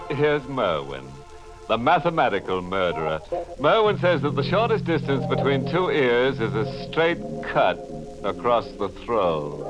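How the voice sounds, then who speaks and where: Macabre 1940s radio horror host: slow, theatrical, darkly gleeful, muffled vintage sound. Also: male voice, medium pitch. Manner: theatrical, drawn-out, chuckling delivery. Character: macabre, gleefully morbid horror show host cracking ghoulish puns. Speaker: host and narrator. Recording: muffled old radio recording with music.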